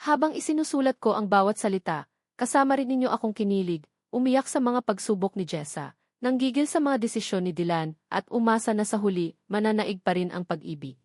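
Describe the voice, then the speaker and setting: A woman's voice: medium pitch, expressive, fairly quick, dry recording, clean studio sound. A woman reading a scripted romantic drama as a storyteller, in an earnest, sentimental manner. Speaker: storyteller and channel narrator.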